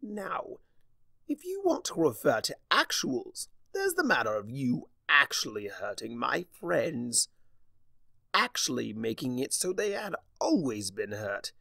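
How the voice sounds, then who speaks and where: A man's voice: medium pitch, big pitch swings, measured pace, creaky, pushed and bright, dry recording, full character voice. A man voicing a mocking, vengeful chaos creature in a fan fiction reading, with a pompous, wordy, taunting manner. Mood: indignant scolding. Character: theatrical, mocking, vengeful male chimera trickster of chaos.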